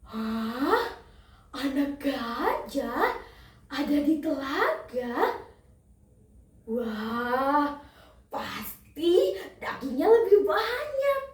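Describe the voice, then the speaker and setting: A young female storyteller voicing a greedy, menacing crocodile with gloating, drawn-out speech. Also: high-pitched, very animated, echoey room, recorded from a distance, full character voice. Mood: greedy wonder.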